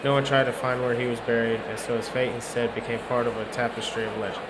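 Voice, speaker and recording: A man's voice: mid-range voice, little pitch movement, quick pace. A man reading a visual novel's first-person narration aloud in a dry, wry storytelling way. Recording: noisy urban ambience behind.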